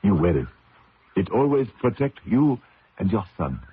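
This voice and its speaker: An actor playing an old, superstitious Cajun race mechanic in a radio drama, solemn, with clipped broken grammar and a Cajun accent. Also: male voice, on the low side, sing-song, moderate pace. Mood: solemn, protective. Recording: noisy, tinny old radio broadcast.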